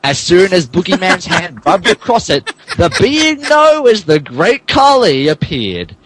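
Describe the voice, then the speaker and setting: A man's voice: high voice, huge pitch swings, a little slow, fairly bright tone. A man narrating a comic dramatic reading of fan fiction in a hammy way, stumbling over words. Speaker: narrator, podcast reader.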